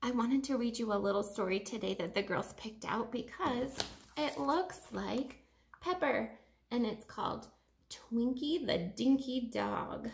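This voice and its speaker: A woman in her thirties reading a picture book aloud to children like a teacher, with expressive, emphatic phrasing. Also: fairly high pitch, unhurried, vocal fry, a little hiss or hum. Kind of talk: children's storybook read-aloud. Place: living room at home, indoors.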